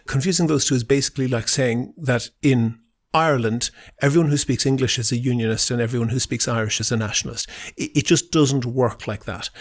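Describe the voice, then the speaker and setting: A man explaining politics as a guest on an interview podcast, in an assertive, analytical way. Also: mid-range voice.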